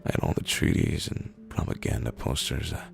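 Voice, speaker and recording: A man with a hoarse, raspy, rumbly voice, reading stories aloud in an unhurried, drawn-out way with pauses. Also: soft background music. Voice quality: raspy, rumbly, gravelly fry. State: hoarse from days of screaming. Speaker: narrator reading internet posts. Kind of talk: slow, low dramatic reading of short stories.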